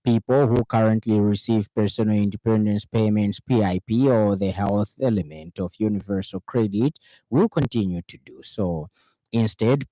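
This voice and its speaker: Deep-voiced Zambian male newsreader. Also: warm tone, no room sound, poor audio. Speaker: radio news reader. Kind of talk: formal scripted news bulletin reading.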